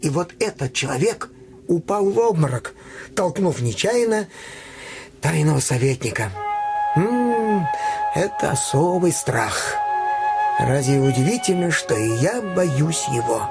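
An actor playing a cowed, world-weary doctor in a theatrical radio play, urging others to give up in an agitated, storytelling way. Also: male voice, a little high, sing-song, measured pace, over loud music. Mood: anxious.

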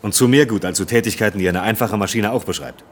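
Male actor for a stiff, superior efficiency expert, fairly deep, radio drama. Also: fast, a little hiss or hum, lightly acted. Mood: impatient, condescending. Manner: formal, condescending, matter-of-fact. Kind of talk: German radio drama acting.

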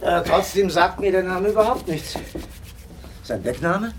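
An actor playing an earnest, inquisitive gentleman companion who both narrates and talks in a German radio crime drama. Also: sits high, animated, unhurried, noisy background. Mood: puzzled, curious.

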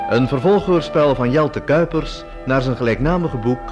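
A man's voice: mid-range voice, moderate pace. A man announcing a radio drama in a scripted style. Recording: old radio recording under loud music. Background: loud theme music.

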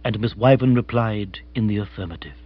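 A man's voice: on the low side, fairly quick, dull audio, voice pushed down, natural voice. A man voicing an unflappable, formal English manservant in a storybook, very polite, precise and wordy. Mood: measured, deferential. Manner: stately, circumlocutory, very polite.

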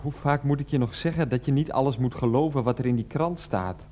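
A man's voice: mid-range voice, a little fast, warm tone, a little hiss or hum, close to an everyday voice. A man playing a grumpy, sarcastic father in a radio comedy drama, blustering and coarse in his talk. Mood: grumbling. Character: grumpy, exasperated, sarcastic father fed up with health food.

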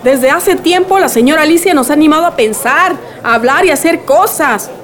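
A woman's voice: sits high, expressive, brisk. A woman playing a bold but polite village woman in a radio drama, with a formal, deferential manner. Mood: polite, determined.

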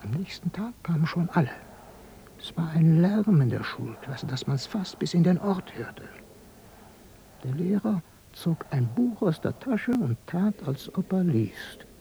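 Radio drama: a hushed village gossip, dark, creaky voice. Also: male voice, a little high, very animated, measured pace, a little hiss or hum, full character voice, poor audio. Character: gossiping village man spreading news.

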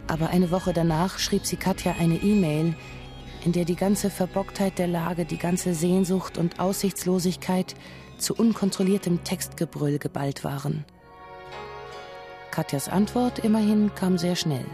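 A woman with a slightly low voice narrates a radio drama. Her scripted, literary storytelling is composed and precise, set over prominent music. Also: fairly even intonation, unhurried, dark tone.

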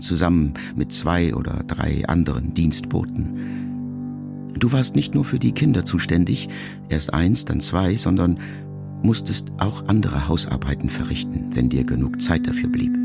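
A reflective middle-aged son in a radio play, speaking in a very low, heavily creaking man's voice. He addresses his mother directly, measured and introspective, with music clearly behind his words. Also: exaggerated voice. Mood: pensive, tender. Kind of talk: literary radio play monologue.

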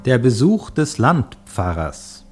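Loud plucked guitar music runs behind a man announcing a radio play. He reads the titles slowly, with a lively, moving pitch.

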